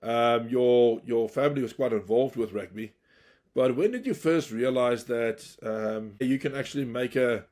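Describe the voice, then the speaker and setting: A man's voice: mid-range voice, moderate pace, darkish tone. A man hosting a podcast, asking questions in a friendly, chatty way. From South Africa. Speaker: podcast host, interviewer. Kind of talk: casual interview.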